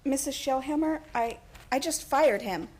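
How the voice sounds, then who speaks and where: Woman playing an earnest, high-voiced store manager. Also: moderate pace, a little mellow, close to an everyday voice. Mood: anxious. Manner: earnest, anxious. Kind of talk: radio play acting.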